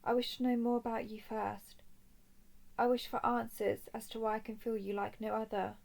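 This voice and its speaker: A woman acts out a young dragon princess in a fantasy audio drama. Her voice sits a little high, with a noticeable creak at the edges. She speaks softly and formally, choosing words with deliberate care, a composed captor full of curiosity. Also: little pitch movement. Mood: solemn, curious. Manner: formal, deliberate, soft-spoken. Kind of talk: scripted roleplay audio drama.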